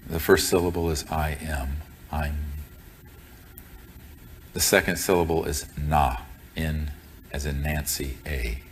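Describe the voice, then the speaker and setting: A man leading a group in guided meditation, speaking as a teacher in a solemn, deliberate and measured way. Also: a bass voice, fairly even intonation, a little hiss or hum. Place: room with a group present.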